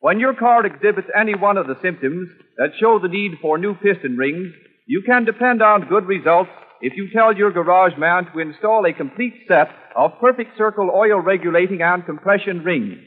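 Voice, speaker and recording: An American man reading a formal 1920s radio sponsor announcement in a stiff, ceremonial, measured way. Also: sits high, animated, slightly rough. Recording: echoey, tinny early radio recording.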